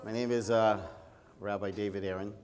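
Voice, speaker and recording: Brooklyn-accented rabbi speaking in a reverberant hall. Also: male voice, on the low side, fairly even intonation, normal speed, darkish tone. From Toronto, Canada. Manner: conversational, anecdotal, checks in with "right?". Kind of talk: inspirational religious talk to an audience.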